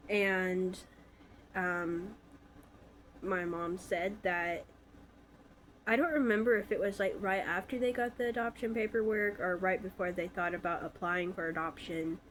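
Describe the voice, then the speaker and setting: Young woman vlogging, stuffy nose from a cold, talks slow with lots of ums, faint hiss behind. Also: mid-range voice, poor audio. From Alabama, US. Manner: many fillers, hesitant. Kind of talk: casual personal storytelling.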